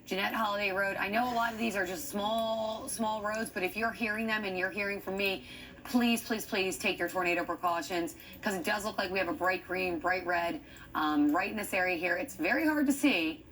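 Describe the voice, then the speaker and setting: A woman's voice: mid-range voice, moderate pace, fairly bright tone, a little hiss or hum. A woman with an American accent giving live severe weather commentary, urgent and thinking aloud as she reads the radar. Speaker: TV weather forecaster.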